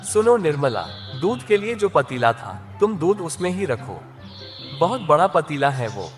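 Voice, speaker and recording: A man voicing a simple, hardworking village farmer and husband in an animated moral story, with expressive, plain-spoken delivery. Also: a little high, unhurried, soft background music. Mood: cheerful, practical.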